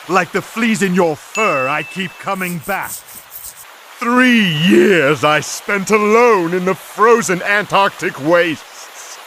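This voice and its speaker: A man's voice: high voice, exaggerated voice. A man voicing a pompous, short-tempered mad scientist villain with a nasal, theatrical delivery in a cartoon video game. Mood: sinister, gloating. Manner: pompous, melodramatic, florid diction. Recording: noisy, with cartoon sound effects.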